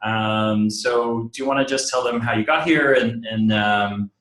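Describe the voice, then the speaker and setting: A man's voice: medium pitch, unhurried, a bit bright. Upbeat male webinar host, roomy sound. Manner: upbeat, effusive. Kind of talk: hosting a webinar interview. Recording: roomy with faint background noise.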